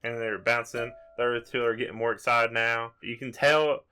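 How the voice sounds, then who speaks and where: A man's voice: medium pitch, fairly even intonation, normal speed, sharp tone, clean tone. A man narrating an improvised tabletop role-playing game as the game master.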